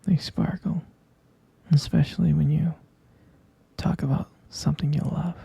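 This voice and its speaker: A man playing a tender, devoted boyfriend in an intimate ASMR roleplay, speaking in a breathy, husky whisper and lingering dotingly over his words. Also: a little high, fairly even intonation, measured pace, warm tone, no room sound, heavily acted. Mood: adoring.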